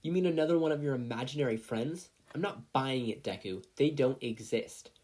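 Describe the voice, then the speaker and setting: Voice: medium pitch, expressive, normal speed, close to an everyday voice. A story narrator voicing a brash, angry preschool boy, scornful and bragging. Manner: shouty, scornful, indignant.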